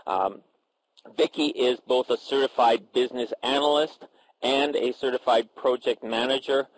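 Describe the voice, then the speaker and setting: Halting man moderating a panel. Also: medium pitch, slight background noise, poor audio. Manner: halting, many filler sounds and restarts. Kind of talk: moderating a professional panel discussion.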